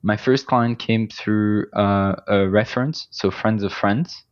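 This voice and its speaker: A man with a French accent speaks in a low, fairly flat voice, often restarting his sentences and filling pauses as he talks things through. The recording sounds a little dull and lo-fi. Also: clean tone. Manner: hesitant, many restarts and fillers. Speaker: agency founder, guest. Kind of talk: casual interview conversation.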